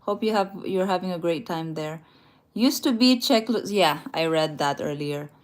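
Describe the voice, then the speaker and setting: A woman talks casually and laughs often, stumbling and restarting her sentences as she goes. Her voice sits a bit on the low side. She sounds like she is chatting live from a rented room at night. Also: normal speed. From Philippines. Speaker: travel livestream host. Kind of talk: casual live chat, reading viewer comments aloud.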